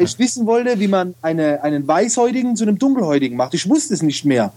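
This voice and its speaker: Man, regional German dialect, high-pitched voice, chatty and loose, guest in a podcast interview. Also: very animated, quick pace, mellow. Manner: chatty, loose, many fillers and restarts. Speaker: guest, photo retoucher and video trainer. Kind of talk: casual interview talk.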